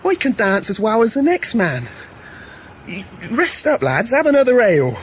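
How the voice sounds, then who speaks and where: An actor playing a wounded young soldier and morris dancer of the First World War in a radio play, earthy, sweary and bewildered. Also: male voice, sits high, wildly swooping pitch, normal speed. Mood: indignant. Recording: noisy radio drama with battle sounds.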